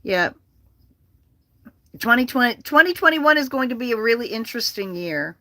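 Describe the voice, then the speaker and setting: A livestream host chatting casually and rambling, with an animated, profane manner and a habit of repeating phrases. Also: mid-range voice, normal speed, no echo.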